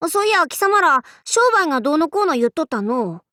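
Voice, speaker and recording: A woman's voice: sits high, sing-song, brisk, pushed and bright, dry recording, clean studio sound, full character voice. An actress playing a boastful young female demon-king warlord in an anime game, with archaic lordly speech that flips between haughty and goofy. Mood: breezy, teasing. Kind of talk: Japanese anime game character voice lines.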